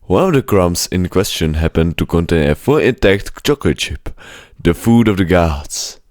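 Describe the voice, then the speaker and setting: A man's voice: low-pitched, expressive, normal speed, a little mellow, no echo, clean studio sound. A man narrating a scripted children's story as an audiobook-style reader.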